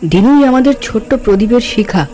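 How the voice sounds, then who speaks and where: A man playing a kindly, weary uncle in a cartoon drama, speaking in a gentle, placating way. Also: very high-pitched, animated, a little fast. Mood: wistful.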